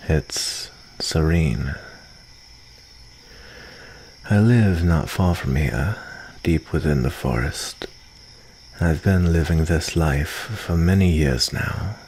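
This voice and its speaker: A man playing a very deep, slow-talking vampire. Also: slight background noise, exaggerated voice. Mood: wistful. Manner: unhurried, soft-spoken formal phrasing with dry teasing. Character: calm, lonely, guarded male vampire living in the forest. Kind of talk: ASMR roleplay acting. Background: cricket ambience.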